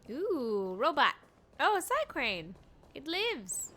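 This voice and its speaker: Bright-voiced woman streaming a game. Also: sits high, huge pitch swings, takes it slowly, slight background noise. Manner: playful, reactive. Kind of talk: live game commentary, reading on-screen text aloud.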